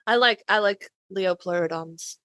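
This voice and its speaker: A woman's voice: mid-range voice, measured pace, bright, forceful tone, smooth, dry recording, studio quality. A woman bantering casually as a podcast co-host, emphatic, geeky and enthusiastic.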